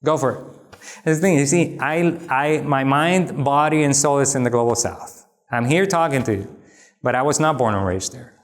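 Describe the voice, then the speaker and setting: A man from the Republic, answering audience questions after a talk in an animated, emphatic way with wry asides. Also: mid-range voice, normal speed. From Dominican Republic. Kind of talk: lecture Q&A, conversational.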